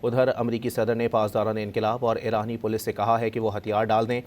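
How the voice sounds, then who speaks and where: A man's voice: on the low side, level tone, a little fast, darkish tone. A man with a Pakistani accent reading breaking news as an anchor, in a formal, insistent delivery. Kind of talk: breaking news bulletin in Urdu, repeated formally.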